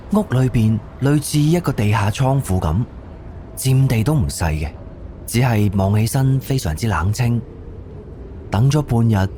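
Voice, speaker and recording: A man's voice: fairly low pitch, dark tone, a little hiss or hum. A man with a Cantonese accent narrating a scripted audio drama as its storyteller.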